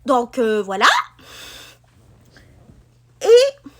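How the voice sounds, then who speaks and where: Young woman vlogger from Quebec, partly France-style accent, high lively voice, unhurried, rambling. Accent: Quebec French, with some France-style features. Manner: rambling, with many "ben" fillers and restarts. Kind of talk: casual chat to camera.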